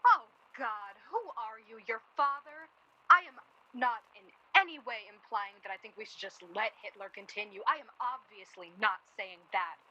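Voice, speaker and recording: An actress in a 1930s-set radio play, playing a sharp, sarcastic woman who lays on guilt to her houseguests. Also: on the high side, normal speed, lo-fi sound. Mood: scornful, argumentative. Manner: sarcastic, needling. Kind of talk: radio play acting, 1930s period drama.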